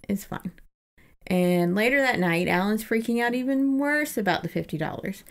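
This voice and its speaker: A woman's voice: fairly low pitch, vocal fry. A woman co-hosting a podcast, casually retelling and discussing a book in an irreverent, chatty way. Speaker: podcast co-host.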